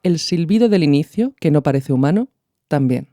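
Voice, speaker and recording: A man hosting a podcast, reading scripted educational narration with measured, explanatory phrasing. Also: on the high side, expressive, music in the background. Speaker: podcast host.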